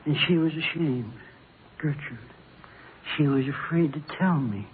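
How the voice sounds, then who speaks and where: Bewildered accused husband, played by a male actor: American, higher-set male voice, humble and halting, vintage radio drama with faint hiss. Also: narrow, tinny audio, lightly acted. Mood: subdued, earnest. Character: accused husband, bewildered, pleading, grieving and gentle. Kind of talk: 1950s radio drama acting. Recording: old band-limited radio broadcast, hissy.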